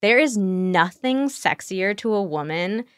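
A woman's voice: medium pitch, animated, measured pace, fairly bright tone, clear, resonant tone, dry recording, studio quality. A woman speaking as a guest sex therapist in casual, explanatory podcast talk, illustrating her points with quoted examples.

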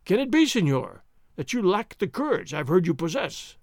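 A blustering, boastful army sergeant, as a man puts him on for a storybook reading, pushing his voice high and loud. He sneers and taunts, swinging wildly up and down in pitch. The sound is dry and close. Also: very animated, normal speed, no echo. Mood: taunting. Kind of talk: audiobook character reading.

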